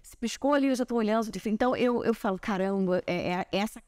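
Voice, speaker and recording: A woman's voice: mid-range voice, fairly quick, smooth. A woman in her forties from Brazil chats animatedly about her acting life, gesturing as she talks. Her voice sits in a middle register. The clip-on mic gives a dry, close sound with no room echo. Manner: chatty, animated storytelling with hand gestures. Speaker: actress and guest. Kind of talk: relaxed interview chat. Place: large bright living room, seated on a sofa. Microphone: small clip-on mic on collar.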